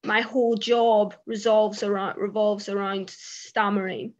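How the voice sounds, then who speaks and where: A British woman speaking on an online panel, with a stammer that shows in repeated words and frequent fillers. She talks in a relaxed, conversational way at a mid pitch, and her line sounds a little dull and muffled. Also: measured pace. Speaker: panellist, journalist who stammers. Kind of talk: casual panel discussion.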